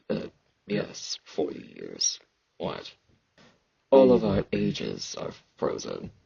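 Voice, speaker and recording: A man playing a weary, aloof immortal fantasy knight in an intimate ASMR roleplay, slow and brooding, with a gravelly, husky voice and sibilant S sounds. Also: low voice, darkish tone, no echo, lo-fi sound, full character voice. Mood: dry, subdued, then matter-of-fact. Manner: slow, brooding, casual about grim things.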